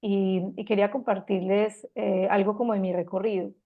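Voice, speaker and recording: A Colombian woman in her fifties with a Medellín accent, gently giving a reflective personal talk with frequent pauses and restarts. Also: mid-range voice, fairly even intonation, narrow, tinny audio. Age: 50s.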